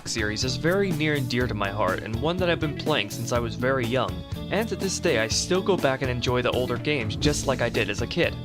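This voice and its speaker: A man's voice: mid-range voice, lively intonation, fairly quick, hardly any pauses. A man narrating a video essay in a reflective, nostalgic way. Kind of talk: scripted narration. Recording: narration played over music.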